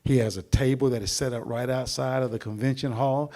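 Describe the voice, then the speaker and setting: A middle-aged man hosting a church seminar, introducing the speaker in a rambling, preacherly way with many pauses. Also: mid-range voice, fairly even intonation, darkish tone.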